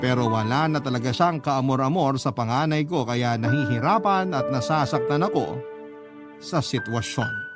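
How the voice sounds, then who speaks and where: A man's voice: mid-range voice, moderate pace. A man narrating a scripted first-person story for a radio drama in a dramatic storytelling style. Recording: loud music bed under the voice.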